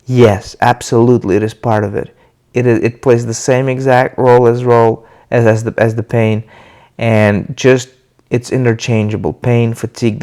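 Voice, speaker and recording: A man with a stuffy voice from a cold, talking casually to the camera and hosting his own channel about recovery. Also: medium pitch. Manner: relaxed, loose, with many uh fillers and restarts. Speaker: video host sharing his recovery.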